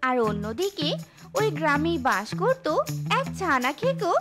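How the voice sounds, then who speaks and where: A high-pitched narrator reads a scripted cartoon tale. The delivery swings widely in pitch, very animated. Loud music plays beneath the voice. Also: measured pace.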